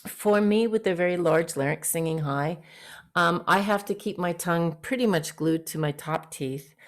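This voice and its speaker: A woman vocal coach with a fairly low voice, teaching an online singing master class and breaking off to sing short demonstration notes. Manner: explains briefly, then sings short demonstration notes. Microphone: video call.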